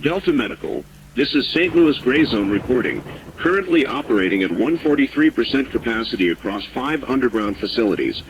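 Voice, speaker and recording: A man's voice: mid-range voice, big pitch swings, a little fast. Muffled, terse radio medic played by a man. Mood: grave, factual. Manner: formal, terse, factual. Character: sober male underground clinic radio operator, dutiful. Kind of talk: scripted status report in an audio drama. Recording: dull radio signal with faint noise.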